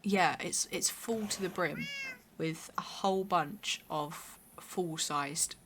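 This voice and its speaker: A young woman with a hoarse, raspy voice, chatting casually and rambling while streaming a game. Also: fairly low pitch, takes it slowly, a bit bright, a little hiss or hum. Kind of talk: casual chat while gaming.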